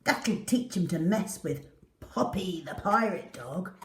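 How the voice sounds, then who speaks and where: A woman's voice: lowish voice, expressive, slow pace. Woman voicing a dramatic pirate dog, echoey room. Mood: smug. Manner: gruff, dramatic, growling and barking. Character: grumpy but caring female pirate dog.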